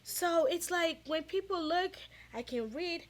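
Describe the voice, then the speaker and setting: A woman's voice: very high-pitched, a little slow. A Nigerian woman with a Nigerian accent, a Yoruba speaker co-hosting a casual discussion and talking animatedly with many restarts. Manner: animated, lots of restarts and quoted voices. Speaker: co-host.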